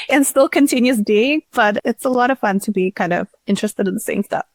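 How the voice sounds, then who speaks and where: A woman's voice: on the high side, moderate pace, no room sound. A woman speaking as an interview guest, explaining things informally in a casual, conversational way. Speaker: podcast guest, tech startup CEO.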